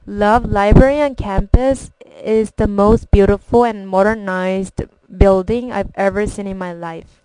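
A woman's voice: medium pitch, fairly even intonation, unhurried, hardly any pauses, mellow, smooth, poor audio. A young woman from Korea, a college student with a strong Korean accent whose first language is Korean, reading a personal introduction carefully. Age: young adult.

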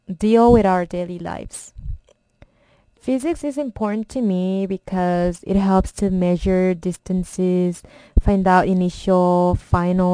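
A woman's voice: mid-range voice, mellow, clean tone, no echo, rough recording. A young woman from Korea, a college student with a strong Korean accent whose first language is Korean, reading a personal introduction carefully. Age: young adult.

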